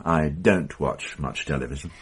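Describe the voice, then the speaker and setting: An actor in a radio drama playing a senior police inspector with a dry, measured, wryly authoritative manner. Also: male voice, extremely low pitch, husky. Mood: probing, restrained.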